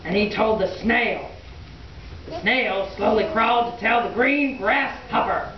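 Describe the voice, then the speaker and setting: A woman's voice: mid-range voice, measured pace. A woman telling a story to children at a live puppet show, theatrical in how she draws out and repeats words. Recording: echoey room, distant dull mic, crowd. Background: audience of children.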